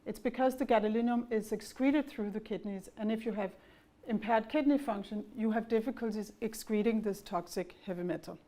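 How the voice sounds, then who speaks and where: A middle-aged woman from Denmark with a Danish accent, giving a measured, explanatory company presentation at an investor conference. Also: a little high, dark tone. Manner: measured and explanatory, with occasional fillers and restarts.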